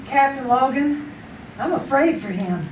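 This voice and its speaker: Female sidekick for vintage radio drama woman acting: high-pitched, devoted and anxious, echoing stage sound. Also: lively intonation, unhurried. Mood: worried. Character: loyal, anxious young female friend of a photographer. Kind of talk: old-time radio drama acting, performed live on stage. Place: theater stage, live performance. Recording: roomy, distant stage recording, faint noise.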